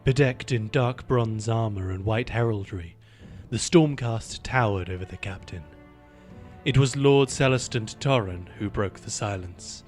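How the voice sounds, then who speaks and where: A man's voice: lowish voice, unhurried, soft background music. A man reading scripted narration as the storyteller of a fantasy audio drama.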